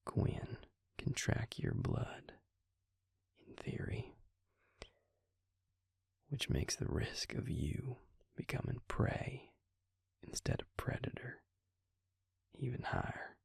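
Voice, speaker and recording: Man acting a hushed, gravelly, protective vampire. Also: huge pitch swings, no room sound, in a whisper, clean studio sound, heavily acted. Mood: grave concern. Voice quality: breathy, gravelly low murmur. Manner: hushed, halting, earnest pleading. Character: worried, protective male vampire, gruff but caring. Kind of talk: intimate audio roleplay acting.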